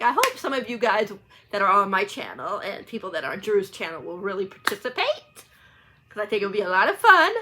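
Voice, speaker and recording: A woman's voice: fairly high pitch, bright, forceful tone, slight background noise. A woman talking casually to viewers in a rambling, informal book vlog, often getting sidetracked. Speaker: book channel vlogger.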